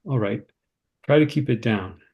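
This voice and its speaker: A man's voice: mid-range voice, lively intonation, moderate pace, a little mellow, highs cut off, close to an everyday voice. A man acting the part of a harried, considerate cousin, earnest and slightly fretful, in a screenplay read-through. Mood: worried. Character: David, harried, anxious, considerate male cousin. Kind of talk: informal screenplay table read, naturalistic dialogue.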